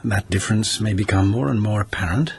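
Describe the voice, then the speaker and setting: A man playing a snobbish, deep-voiced palace lord. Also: brisk, close to an everyday voice. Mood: condescending. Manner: condescending, silky, snobbish. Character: haughty aristocratic palace lord, scornful. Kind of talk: radio drama acting.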